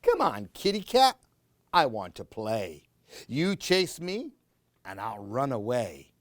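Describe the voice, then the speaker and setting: A woman's voice: very deep voice, big pitch swings, slow pace, no echo, full character voice. A woman reading aloud as a playful three-year-old boy, chanting bouncy rhymes. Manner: sing-song, bouncy rhymes. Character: playful little boy pretending, aged three.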